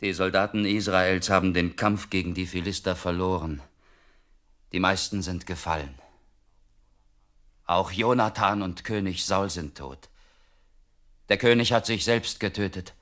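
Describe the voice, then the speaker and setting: An actor playing a loyal soldier messenger in a Bible radio play, speaking in an earnest, declamatory way. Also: male voice, deep voice, unhurried, pauses often, a bit bright. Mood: grave, urgent.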